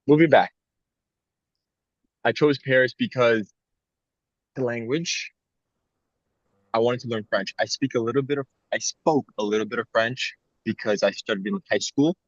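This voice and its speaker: A man's voice: medium pitch, moderate pace, long pauses, dry recording, muffled recording. A young man co-hosting a podcast, talking in a casual, rambling and reflective way with frequent "like" fillers. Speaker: podcast co-host.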